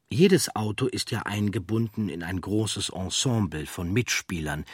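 Male narrator, standard German, low husky tone, dry studio sound, scripted documentary read. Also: no echo.

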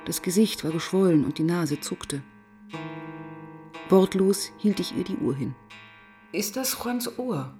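A sharp-tongued female inspector narrating a radio crime play in a lowish voice, with faint guitar music. She is brusque, sardonic and impatient. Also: lively intonation, unhurried, long pauses. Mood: official. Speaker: narrator and lead character.

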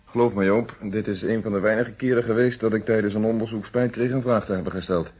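An actor playing a steady, reflective police inspector recounting his case in a Dutch radio drama, with a Netherlands accent and measured, polite delivery. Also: lowish voice, breathy edge. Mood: reflective, explanatory. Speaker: actor in a detective radio play.

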